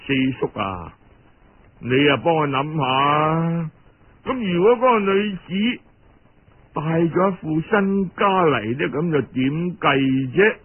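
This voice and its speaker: A man's voice: fairly high pitch, lively intonation, slow pace, breathy edge, narrow, tinny audio, exaggerated voice. A man voicing a sly, calculating young Cantonese nephew in a told story, coaxing his elder in a familiar tone.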